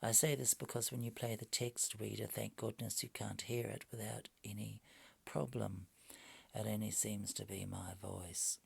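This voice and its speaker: A quiet, soft-spoken man muttering to himself at home, grumbling mildly and trailing into whispers. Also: fairly low pitch, little pitch movement, moderate pace, gravelly, breathy edge. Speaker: language video maker. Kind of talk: casual talking to himself while working. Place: home at night. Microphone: phone.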